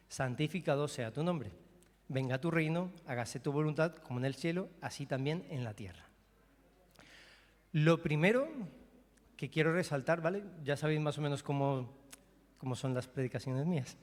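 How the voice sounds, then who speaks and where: A man from Colombia with a Colombian accent, preaching a sermon as pastor, talking conversationally and asking the congregation questions. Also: fairly high pitch. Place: church hall. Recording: long reverb of a church hall.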